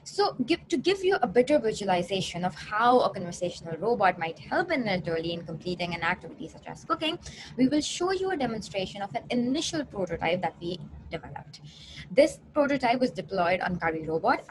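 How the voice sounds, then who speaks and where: A woman delivers an academic research talk in an explanatory way. Her pitch moves in a lively way and she sometimes trips over a word and restarts it. Clear noise runs behind the recording. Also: a little fast. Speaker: researcher presenting a paper.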